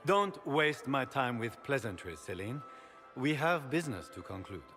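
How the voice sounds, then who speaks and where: An actor playing a curt, arrogant, impatient grand duke in a fantasy game, brusque and disdainful. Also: male voice, mid-range voice, very animated, normal speed, pushed and bright. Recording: reverberant hall, music behind.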